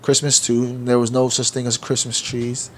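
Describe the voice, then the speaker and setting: A man's voice: mid-range voice, completely flat delivery, normal speed, darkish tone, a little hiss or hum. A man in his thirties from New York, co-hosting a casual podcast chat, laid-back and rambling, often calling his friend "bro". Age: 30s. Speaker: podcast co-host.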